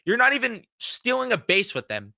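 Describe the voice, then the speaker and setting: Blunt, bright-voiced young man ranting. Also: very high voice, huge pitch swings, moderate pace, creaky, dry recording. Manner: blunt, frustrated, swears freely. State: fighting a cold. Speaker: sports fan show host. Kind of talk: casual reaction commentary.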